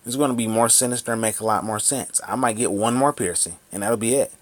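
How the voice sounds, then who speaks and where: A man's voice: lowish voice, fast talker. Profane ranting man in his thirties. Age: 30s. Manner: angry, profane, repetitive ranting. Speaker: vlogger. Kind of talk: ranting vlog monologue.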